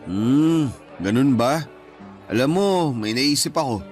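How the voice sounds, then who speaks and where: A man's voice: mid-range voice, animated, slow pace. A man playing a resentful breadwinner brother in his thirties in a Filipino radio drama, with a Filipino accent and an emotional, wounded delivery. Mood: coaxing. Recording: music bed under the voice.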